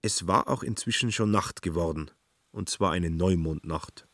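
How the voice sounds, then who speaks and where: A man's voice: low voice, moderate pace, fairly bright tone, no room sound, clean studio sound. A man reading scripted literary narration as the narrator of a radio drama. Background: faint music.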